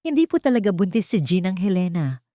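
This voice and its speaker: A woman's voice: fairly low pitch, sing-song, a little fast, dark tone, dry recording, studio quality. A woman voicing a nervous, respectful female doctor who sounds apologetic, in an audio drama. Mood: uneasy confessing.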